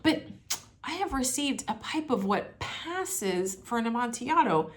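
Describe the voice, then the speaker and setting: A woman voicing a sly nobleman who flatters his rival with theatrical, effusive concern in a dramatic story read-aloud. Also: on the high side, unhurried. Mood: effusive flattery.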